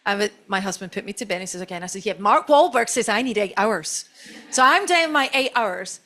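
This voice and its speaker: A woman's voice: mid-range voice, expressive, brisk, sharp tone. Chatty Scottish woman on a stage panel. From Scotland. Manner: chatty, storytelling, self-deprecating. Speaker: chief marketing officer on a panel.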